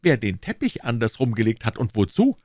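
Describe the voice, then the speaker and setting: A man's voice: mid-range voice, sing-song, a little fast, darkish tone, no room sound, studio quality, pitched above the performer's own voice, close to an everyday voice. A man voicing a pompous, self-satisfied police inspector in an audiobook, talking down to others with boastful condescension. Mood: scornful. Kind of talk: audiobook character voice.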